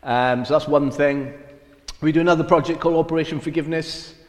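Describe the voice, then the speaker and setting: A man's voice: on the high side, fairly even intonation, fairly quick. A middle-aged man from Wales with a mixed Welsh and Cockney accent, a mission field director chatting in a self-deprecating way with many fillers during a church interview. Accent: Welsh with Cockney mix. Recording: echoey church hall, long reverb.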